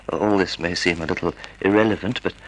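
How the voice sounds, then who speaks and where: Nervous wealthy older husband, lowish husky voice, halting stammer, courteous, old radio play. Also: male voice, rough recording. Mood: hesitant, earnest. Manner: hesitant, stammering. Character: timid, half-blind, wealthy retired older husband, anxious and polite. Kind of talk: old-time radio drama acting. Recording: vintage radio drama recording.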